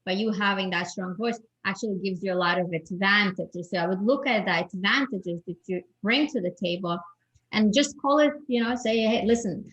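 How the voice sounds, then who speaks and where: A woman's voice: medium pitch, normal speed, fairly bright tone, highs cut off. A woman from Europe, a guest expert giving advice in a panel talk, with a strong voice and many restarts and repeated words.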